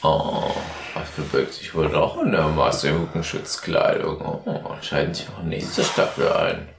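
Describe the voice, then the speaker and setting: A man's voice: rumbling low, lively intonation, measured pace, creaky, reverberant, noisy background. A man co-hosting a podcast, drunk, bantering casually in a theatrical, clowning way. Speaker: podcast co-host.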